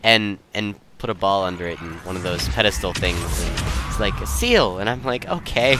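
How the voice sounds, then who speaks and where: A man's voice: deep voice, lively intonation, moderate pace, music in the background. A young man giving rambling, casual commentary in an easygoing, self-deprecating, digressive way. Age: young adult. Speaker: video creator and commentator.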